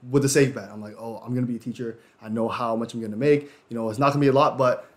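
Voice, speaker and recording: A man in his twenties sits in as a podcast guest and talks with quick, easy energy. His speech is relaxed and full of slang, with lots of "yo" and "you know". His voice sits in an ordinary middle register. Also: mid-range voice. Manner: laid-back slangy talk, often ends with "yo". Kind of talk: casual chat.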